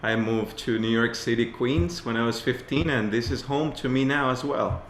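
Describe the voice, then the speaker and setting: A man's voice: medium pitch, moderate pace, a little hiss or hum. Guy in his 20s from ecuador, gushing and sincere casual chat, echoey room sound. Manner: effusive, heartfelt. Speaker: baritone voice student, podcast guest. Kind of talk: casual group conversation.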